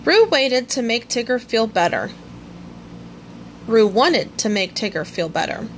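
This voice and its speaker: A woman reading a children's story aloud in an expressive storytelling manner. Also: a little high, a little slow, a little hiss or hum. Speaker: storybook reader. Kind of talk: scripted children's story narration, read aloud.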